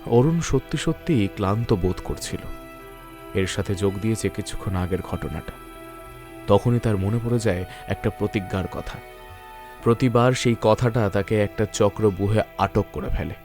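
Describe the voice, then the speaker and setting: A man narrating a scripted suspense story in a measured, literary storytelling manner. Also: on the low side, a little mellow, soft background music.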